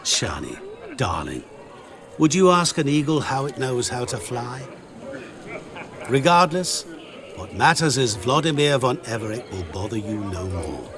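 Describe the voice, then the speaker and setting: A sly, sinister merchant. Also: male voice, mid-range voice, unhurried, a crowd in the background. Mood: smooth. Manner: smooth, calm, quietly menacing. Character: sly, sinister man in the guise of a humble merchant. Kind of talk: game cutscene voice acting.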